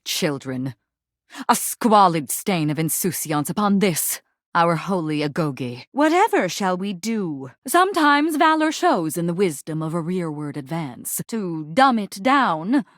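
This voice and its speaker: A woman's voice: fairly low pitch, no room sound, clean studio sound. A woman playing a proud, battle-obsessed warrior trainer in a video game, declaiming in pompous, archaic, theatrical speech. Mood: disdainful, then solemn, dramatic.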